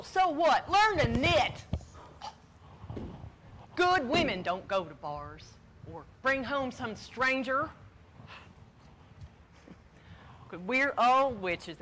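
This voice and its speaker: A woman's voice: a little high, big pitch swings, measured pace, fairly bright tone, slight background noise. A woman playing a bossy, crabby old elder sister in a comedic stage play, scolding bluntly in folksy phrases. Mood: scornful.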